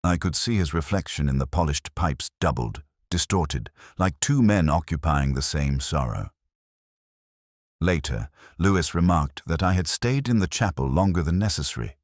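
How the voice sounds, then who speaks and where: A melancholy, introspective detective, played by a man, recounts a case in measured, reflective prose. The voice is extremely deep, yet it rises and falls expressively. The sound is dry and close, suited to scripted mystery narration. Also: animated, no echo. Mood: brooding, reflective. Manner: measured, reflective, literary phrasing.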